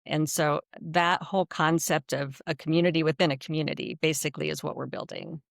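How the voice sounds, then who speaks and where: A woman's voice: sits low, fairly even intonation, fairly quick, creaky, no room sound, clean studio sound. A middle-aged American woman giving conversational, explanatory answers as an interview guest, speaking earnestly. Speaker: nonprofit executive director, podcast guest.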